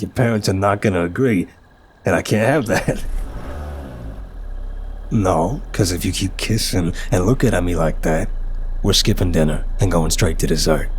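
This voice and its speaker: A man's voice: low voice, a little mellow, a little hiss or hum. A man playing an apologetic, nervous but charming mafia boss in an ASMR roleplay, chatty, wry and flirtatious. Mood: tender, flirtatious.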